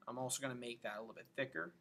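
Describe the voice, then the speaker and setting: A man giving a casual software tutorial, thinking aloud informally as he works through each step. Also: medium pitch, fairly even intonation, very fast.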